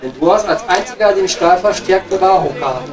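A man's voice: sits high, fairly quick, a crowd in the background, natural voice. A man with a Ruhr accent playing a cheeky, girl-chasing coal miner chatting in a pub in an improvised audio comedy. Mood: bantering. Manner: cheeky, colloquial, rambling. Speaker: comedy performer. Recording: distant from the microphone. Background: music.

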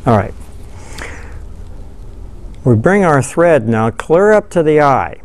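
An older man giving a relaxed, folksy fly-tying tutorial, thinking aloud as he works at a table in a studio. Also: on the high side, slow pace. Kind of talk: hands-on tutorial. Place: studio with dark backdrop, seated at table.

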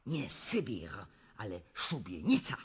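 An actress playing an imperious, lustful and volatile Russian empress in a radio drama, with a commanding theatrical manner. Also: female voice, rumbling low, wildly swooping pitch, measured pace, breathy edge, full character voice. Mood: scornful, furious. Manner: commanding, theatrical, swinging between murmurs and shouts.

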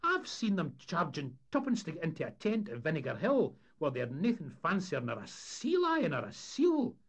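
A man's voice: fairly high pitch, big pitch swings, normal speed, gravelly, a little mellow, slightly rough, voice pushed up, full character voice. A man voicing an eager, boastful young Scottish deckhand with enthusiastic salesman's patter in a humorous storybook tale. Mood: scheming, excited.

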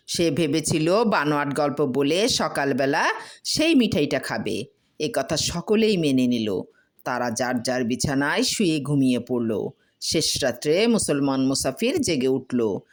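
A woman storyteller giving a scripted narration of a children's tale. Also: deep voice.

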